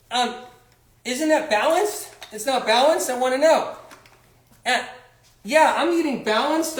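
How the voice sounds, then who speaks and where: A man's voice: very high voice, a bit bright. A man voicing a smug young man who boasts about his balanced diet in a mocking, sing-song way, recorded in an echoey room.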